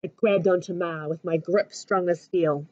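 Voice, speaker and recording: A woman in her thirties with a New Zealand accent reads a children's picture book aloud with an expressive, sing-song rhyming delivery. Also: lowish voice, normal speed, lo-fi sound. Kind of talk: storybook read-aloud for children. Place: living room at home. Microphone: webcam at arm's length.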